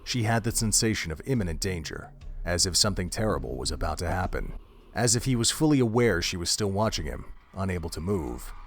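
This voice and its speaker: A man narrating a scripted audio drama in a measured, descriptive storytelling manner. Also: low voice, animated.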